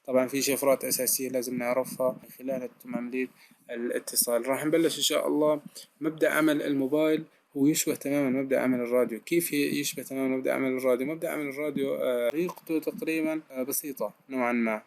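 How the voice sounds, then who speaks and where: A man's voice: mid-range voice, level tone, rapid-fire, vocal fry, darkish tone. A man giving a recorded technical lesson, explaining step by step and answering his own questions with frequent hesitations. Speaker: lecturer.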